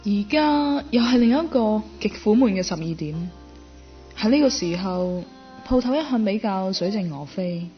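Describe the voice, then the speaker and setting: A woman's voice: medium pitch, lively intonation, normal speed, lightly acted. Self-possessed Cantonese career woman over music played by an actress. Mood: bored, then intrigued. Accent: Hong Kong Cantonese. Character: self-possessed career woman, fashion shop keeper, quietly smitten. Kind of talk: radio drama acting with first-person narration. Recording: dull lo-fi audio, music underneath. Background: background music.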